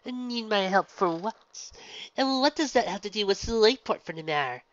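A woman's voice: medium pitch, expressive, normal speed, pitched above the performer's own voice, close to an everyday voice. A woman voicing a wry, guarded female pony gym leader who is easily worried. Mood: wary. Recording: dull, dry lo-fi recording.

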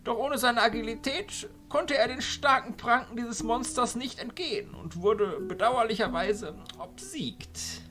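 A woman's voice: fairly high pitch, lively intonation, measured pace, few pauses, a bit bright, soft background music. A woman playing a dignified, grave male archchancellor with formal, courtly phrasing in an amateur audio drama.

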